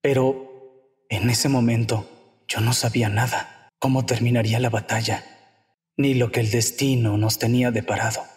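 A man's voice: mid-range voice, fairly even intonation, moderate pace, slightly rough. A man with a Latin American Spanish accent playing an earnest young android scout in a video game dub. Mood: reflective.

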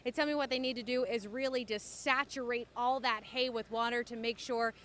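A woman's voice: fairly high pitch, steady tone, a little fast, a bit bright. An American woman in her thirties giving a television news report from the field. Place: outdoors by farm fields. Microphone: handheld reporter microphone. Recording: light outdoor background noise.

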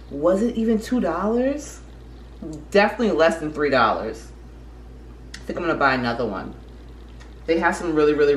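A young woman chatting casually as a vlogger in her apartment, rambling and correcting herself as she goes. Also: sits low. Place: apartment kitchen and living room. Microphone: camera mic from a few feet away. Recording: echoey room, faint room noise.

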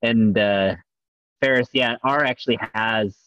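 A man's voice: fairly low pitch, unhurried, fairly bright tone, smooth, no echo. A man presenting at a meetup, explaining points agreeably over a video call. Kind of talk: casual video call discussion. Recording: dull dry video call audio.

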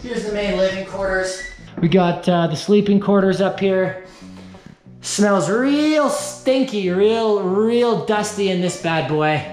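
A man's voice: sits high, takes it slowly, a little mellow, poor audio. A young man chatting casually and playfully to a handheld camera as a hiking vlogger, with enthusiasm. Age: young adult. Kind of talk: casual outdoor vlog chat. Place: mountain trail outdoors and small wooden hut. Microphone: handheld action camera at arm's length. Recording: background music under the voice.

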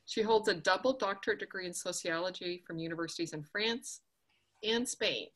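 A woman's voice: lowish voice, a little fast, fairly bright tone. A woman hosting an online summit, introducing her guest with effusive enthusiasm over a video call. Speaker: host.

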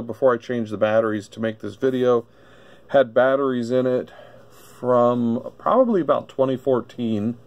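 Male gadget reviewer, casual and hesitant, unhurried delivery, gravelly edge. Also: medium pitch, darkish tone. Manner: halting, thinking aloud. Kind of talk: casual unscripted product demonstration. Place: indoor electronics workbench.

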